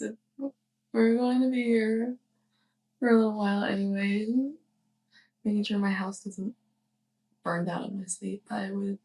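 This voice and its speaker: A woman playing a sweet, clumsy young witch neighbor in an intimate ASMR roleplay, speaking softly and hesitantly with shy affection. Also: mid-range voice, rough recording. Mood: shyly hopeful. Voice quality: soft, breathy. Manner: hesitant, giggly rambling.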